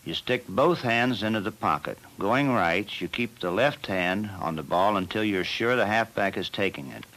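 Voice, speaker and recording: A man narrating a football training film as the team's coach, in a measured and plain instructional manner. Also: fairly low pitch, fairly bright tone, poor audio.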